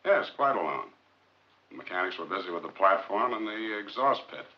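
Man playing deep-voiced, guarded scientist. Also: animated, close to an everyday voice. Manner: curt, measured, defensive. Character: guarded, blunt male rocket scientist. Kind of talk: 1950s film acting. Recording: dull, muffled 1950s film soundtrack.

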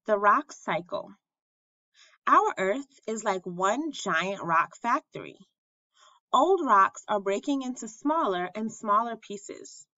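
A woman reading aloud to children as a teacher, deliberate and clearly enunciated, in an instructional way. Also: medium pitch, lively intonation, sharp tone, dry recording, muffled recording. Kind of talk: children's read-aloud lesson narration.

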